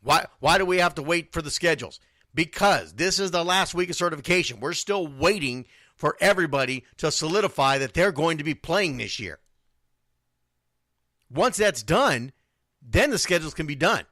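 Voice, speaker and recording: Male sports talk host, blunt and emphatic, slightly high-pitched with a sharp, forceful edge. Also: animated, moderate pace, dry recording, clean studio sound. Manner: blunt, emphatic, repeats phrases for stress. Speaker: youth football radio show host. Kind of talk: informal sports talk monologue.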